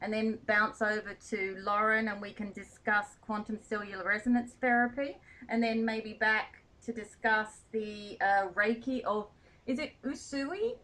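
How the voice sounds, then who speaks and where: A woman's voice: medium pitch, unhurried, bright, forceful tone, poor audio. A woman hosting an interview, chatty and rambling, laughing often and joking about her own pronunciation. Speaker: magazine editor and show host.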